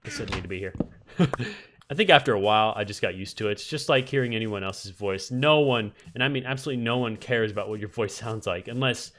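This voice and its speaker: A man's voice: lowish voice, animated, fairly quick, a bit bright, a little hiss or hum. A man with a somewhat nasal voice hosting a Minecraft let's-play, chatting casually and thinking aloud as he plays, with frequent ums and restarts. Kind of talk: casual gameplay commentary.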